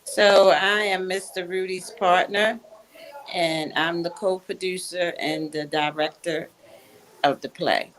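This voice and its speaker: Slow-spoken woman, co-producer and director. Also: on the low side, steady tone, a bit bright, a little hiss or hum. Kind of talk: answering interview questions.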